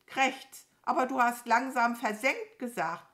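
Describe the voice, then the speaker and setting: A woman's voice: on the high side, a little slow, a bit bright, voice pushed up. A woman voicing a grumpy, contrary, boastful crow in a children's storybook, with a snappy, grumbling manner. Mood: pedantic, cross.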